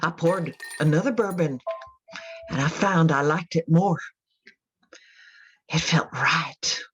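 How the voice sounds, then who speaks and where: A woman playing a haunted Southern mother in a radio drama monologue, telling her confession in a folksy, intense, deliberate way. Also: sits low, soft background music, low-quality recording. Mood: haunted, grim.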